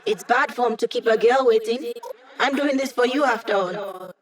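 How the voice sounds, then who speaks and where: A woman's voice: on the high side, moderate pace, close to an everyday voice. An actress voicing a cocky, playful young female hacker who guides a squad in a video game, with a teasing, breezy manner. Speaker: hacker and mission support. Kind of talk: video game character voice lines.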